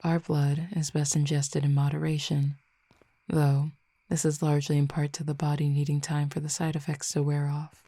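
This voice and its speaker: Woman doing a low gravelly fallen cupid, bossy and teasing, slow and close, drops to whispers. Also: little pitch movement, no echo. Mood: calm explaining. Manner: slow, measured, intimate, with soft whispered stretches. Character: commanding, teasing fallen Cupid, not human. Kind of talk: audio roleplay addressing the listener.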